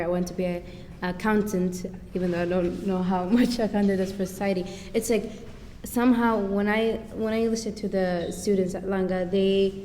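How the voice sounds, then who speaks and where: An eighteen-year-old Swedish woman speaks English as a second language in a relaxed group discussion, searching for her words and dropping in plenty of fillers. The room is echoey with a long reverb tail and a faint hiss behind her. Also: medium pitch. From Sweden. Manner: thoughtful, many fillers like "you know". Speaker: exchange student. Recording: echoey hall with faint noise.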